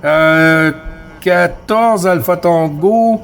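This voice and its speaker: A man with a Quebec French accent and a slightly high voice talks as a hobby radio operator. He keeps it casual and friendly, with courteous handovers and plenty of radio jargon. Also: very slow, creaky, fairly bright tone, faint music behind. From Quebec, Canada. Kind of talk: casual radio contact chat.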